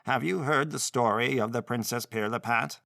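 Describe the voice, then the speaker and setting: A man voicing an odd old godfather in a storybook reading, chanting slowly in a grating, monotonous tone. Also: medium pitch, gravelly, no echo, studio quality. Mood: mysterious.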